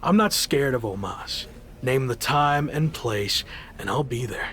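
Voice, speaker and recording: A man's voice: mid-range voice, measured pace, a bit bright, slight background noise, close to an everyday voice. A man playing a cocky, defiant young champion wrestler in a video game cutscene, blunt and confident.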